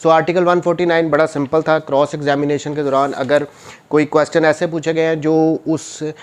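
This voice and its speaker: A man with a Pakistani accent giving an informal law lecture, mixing in English and slowing deliberately when reading legal text. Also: fairly high pitch, flat delivery. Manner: switches between Urdu and English, reads legal text slowly and deliberately.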